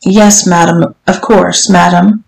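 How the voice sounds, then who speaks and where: Woman playing a halting, meek, pleading man. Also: lowish voice, steady tone, gravelly. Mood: submissive, strained. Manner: hesitant, deferential, halting. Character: meek, submissive, pleading man, a flustered servant. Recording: roomy, echoing room sound.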